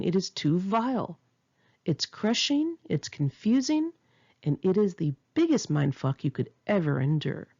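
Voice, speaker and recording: A woman's voice: on the low side, vocal fry, darkish tone, husky. A woman reading her own memoir as an audiobook, in an earnest, emphatic, confessional manner, on a slightly dull home recording. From United States.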